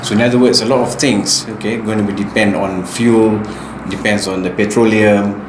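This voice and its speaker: A man's voice: on the low side, steady tone, moderate pace, reverberant, background noise. A man hosting a casual talk about the economy, explaining things with a lot of fillers and restarts. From New Zealand. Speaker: host.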